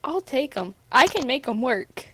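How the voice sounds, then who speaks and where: A woman's voice: sits high, sing-song, measured pace, sharp tone. A young woman in a casual group voice chat, talking in an informal, giggly way.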